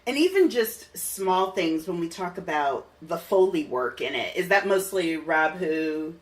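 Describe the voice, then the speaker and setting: A man's voice: fairly high pitch, animated, unhurried. Man interviewing, slightly distant mic. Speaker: podcast host, interviewer. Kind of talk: casual interview chat.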